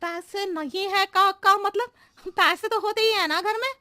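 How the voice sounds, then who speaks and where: A woman's voice: measured pace, no echo, studio quality, heavily acted. A woman voicing a scatterbrained, chatty housemaid who answers everything in roundabout riddles, in a cartoon story. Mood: pleading. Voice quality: very high, shrill and bright. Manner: roundabout, playful, cheeky pleading.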